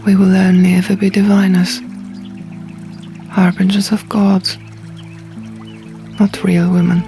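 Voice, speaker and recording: A woman narrates a fantasy audiobook in a dramatic first-person style. Her voice is mid-pitched with noticeable creak, and music plays softly behind her. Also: level tone, slow pace. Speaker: audiobook reader.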